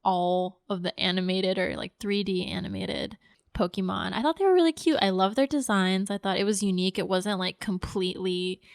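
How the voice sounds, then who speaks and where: A woman's voice: mid-range voice, animated, normal speed, dry recording, studio quality. A woman hosting a podcast in a casual, conversational chat about films. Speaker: podcast host. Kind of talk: casual film discussion chat.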